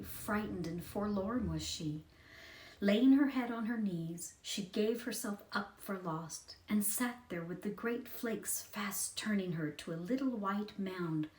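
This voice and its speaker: Woman narrating a story, echoey room. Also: lowish voice. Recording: echoey room, distant mic, faint noise.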